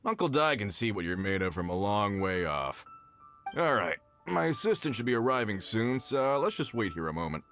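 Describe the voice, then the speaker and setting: A smooth-talking middle-aged merchant, with a mid-range voice that swings up and down as he sells himself. He is genial and boastful, the way a video game trader is. Soft game music sits faintly behind him. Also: fairly low pitch, very animated, moderate pace. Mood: persuasive. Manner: salesman-smooth, persuasive, talks himself up.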